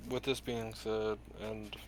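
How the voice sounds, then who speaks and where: A man playing a reformed villain ninja mentor in a tabletop game, speaking in a deliberate, earnest, halting way. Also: on the low side, level tone, rough recording. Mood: grave, instructing. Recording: noticeable background room noise.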